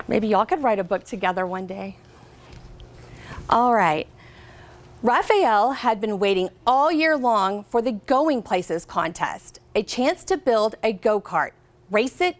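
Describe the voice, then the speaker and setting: An American woman reading a picture book aloud to children as a guest reader, expressively, pausing to ask her listeners questions. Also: mid-range voice, very animated. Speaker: guest reader, company representative.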